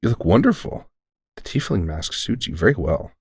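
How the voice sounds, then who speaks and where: A man playing a deranged centuries-old elf shopkeeper who is courteous, formal and unsettlingly calm, in an audio roleplay. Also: deep voice, normal speed, slightly rough, no echo, studio quality, heavily acted. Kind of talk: scripted audio roleplay addressing the listener.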